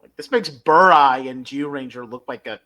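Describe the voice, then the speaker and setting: Bright, forceful man recapping for a podcast. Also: medium pitch, moderate pace. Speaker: podcast co-host. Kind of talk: casual review recap.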